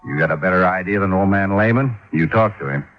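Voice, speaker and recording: Male actor for gruff fire chief, lowish voice, insistent and methodical, muffled vintage radio recording. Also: fast, faint music behind, natural voice. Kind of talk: 1950s-60s radio acting.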